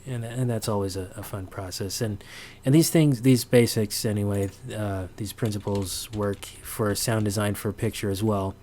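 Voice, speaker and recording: Low-voiced man giving a halting tutorial. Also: steady tone, warm tone. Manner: halting, thinking aloud. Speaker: sound designer giving a tutorial. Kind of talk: informal unscripted tutorial.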